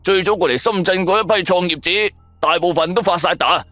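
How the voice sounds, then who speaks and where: A man voicing an excitable, naive and tactless young sidekick in a Cantonese audio drama, blurting things out in exclamations. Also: high-pitched, a little fast, no room sound, faint music behind, voice pushed up. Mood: mock sympathy.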